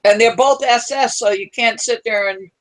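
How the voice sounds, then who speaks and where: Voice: medium pitch, normal speed. Chatty speaker with a New York accent. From Long Island, New York. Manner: chatty, asks questions. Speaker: stream guest. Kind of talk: casual chat.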